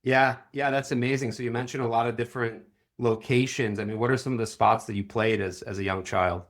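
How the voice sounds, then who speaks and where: A man's voice: mid-range voice, fairly even intonation, fairly quick. A man hosting a conversational interview, asking questions in an informal, appreciative manner.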